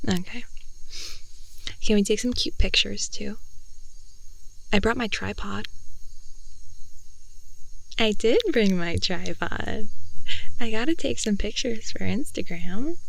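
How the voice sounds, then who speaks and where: A woman's voice: medium pitch, slow pace, vocal fry, long pauses, low-quality recording. A young woman playing a chatty, affectionate girlfriend in an improvised ASMR roleplay, rambling in a gossipy, intimate way close to the mic. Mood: apologetic, coaxing. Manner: rambling, gossipy, giggly, often dropping into soft whispers. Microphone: close headphone-style 3D ASMR microphone.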